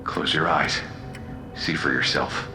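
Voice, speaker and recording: A man playing a cunning, taunting telepathic convict in an audio drama, with a deliberate, theatrical and mocking delivery. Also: very deep voice, over music, heavily acted. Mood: pleading, urgent. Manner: theatrical, deliberate, mocking, swinging between calm and outburst. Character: cunning, taunting telepathic male convict pleading his appeal.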